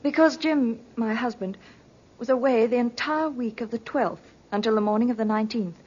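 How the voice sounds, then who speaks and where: A guarded wife, hesitant, bit high and husky, old radio drama. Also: female voice, darkish tone. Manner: hesitant, reserved, measured. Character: guarded, suspicious married woman hiring a detective. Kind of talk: 1950s radio drama acting. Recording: muffled old radio recording.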